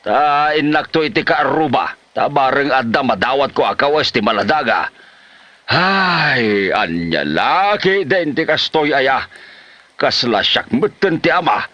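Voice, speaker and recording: A man's voice: mid-range voice, sing-song, a little slow, pushed and bright, no echo, highs cut off. A man with a Filipino Ilocano accent playing an exasperated older father who scolds his lazy son in a comedy drama. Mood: grumbling.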